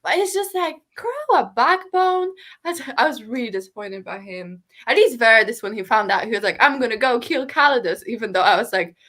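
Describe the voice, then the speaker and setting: A woman's voice: fairly high pitch, expressive, fairly quick, hardly any pauses, a bit bright. Rambling woman chatting about a book. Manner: rambling, many "like" fillers. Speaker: book podcast co-host. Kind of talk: casual book discussion.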